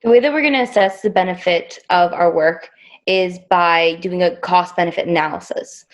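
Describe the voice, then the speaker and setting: A young woman from Canada giving a group presentation over a video call, a little hesitant, with fillers and apologies. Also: fairly low pitch. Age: young adult. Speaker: youth presenter.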